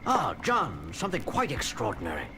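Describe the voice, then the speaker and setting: A man acting in an audio drama, playing an excitable scientist on a space base. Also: mid-range voice, fairly quick, noisy background. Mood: amazed. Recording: loud music and engine effects behind.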